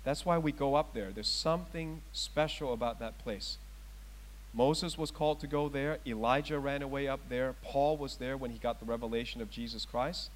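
A man's voice: medium pitch, moderate pace, slight background noise, poor audio. A middle-aged man preaching a sermon as pastor, emphatic and asking his congregation rhetorical questions. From Thailand. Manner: emphatic, rising loud on scripture readings and questions.

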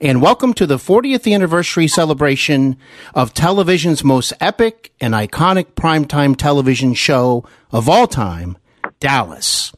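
A man hosting an interview podcast, with deliberate, emphatic announcer-like phrasing. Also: a little high, lively intonation, no room sound, studio quality. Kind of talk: scripted introduction and interview hosting.

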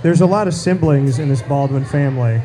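A man hosting a casual chat, easygoing and quick to agree. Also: medium pitch, crowd noise, low-quality recording. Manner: easygoing, frequent agreeing interjections. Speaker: host.

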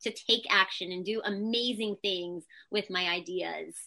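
A woman's voice: medium pitch, unhurried, vocal fry, bright, forceful tone. A woman speaking as an interview guest, talking candidly and personally, with lots of casual "like" and "you know" fillers. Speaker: interview guest, Pilates instructor and entrepreneur. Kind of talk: candid conversational interview answers.

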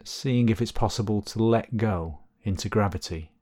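A man leading a guided body scan meditation, giving gentle, unhurried instructions with long pauses between phrases. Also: on the low side.

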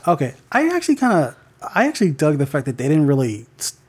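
A man's voice: fairly high pitch, huge pitch swings, fast talker, breathy edge. A man co-hosting a podcast in casual chat, laid-back and slangy, with lots of short agreeing sounds. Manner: laid-back, slangy, frequent backchannel and laughs. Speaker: podcast co-host.

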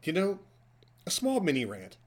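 A man's voice: a little high, huge pitch swings, normal speed. A man in his forties hosting a podcast from a hotel room, chatty and joking with his co-host. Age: 40s. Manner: joking, chatty, playful ribbing. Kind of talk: casual podcast chat.

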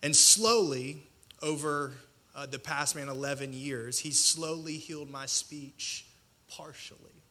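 A man in his thirties preaching a personal testimony in a colloquial, self-deprecating way, with dramatic pauses and a slight stutter. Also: on the high side, pushed and bright. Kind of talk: personal testimony sermon to an audience.

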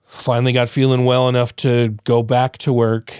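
A man's voice: mid-range voice, level tone, moderate pace, darkish tone, smooth, dry recording, clean studio sound. A man co-hosting a podcast in casual chat, his voice still a bit congested and nasal, rambling, swearing freely and laughing often. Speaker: podcast co-host.

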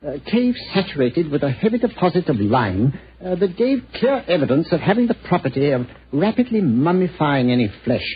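An actor playing an eager, sociable museum professor in a vintage radio drama, fussy and hesitant in manner. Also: male voice, a little high, very animated, a little fast, few pauses. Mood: proud, eager. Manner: fussy, hesitant, full of uhs. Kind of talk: 1940s radio drama acting. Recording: dull, noisy old radio recording.

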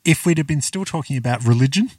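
A man's voice: mid-range voice, animated, fast, a little mellow, husky, dry recording, recorded from a distance. A man co-hosting a podcast, chatting casually about an old film in a dry, wry way and correcting details. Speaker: podcast co-host.

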